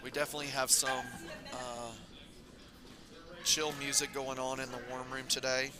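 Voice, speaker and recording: A man commentating a curling tournament stream in a casual, rambling way, his voice raspy and hoarse from talking all weekend. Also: mid-range voice, normal speed, crowd noise. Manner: relaxed, rambling, many fillers. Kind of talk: casual live sports commentary. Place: curling club.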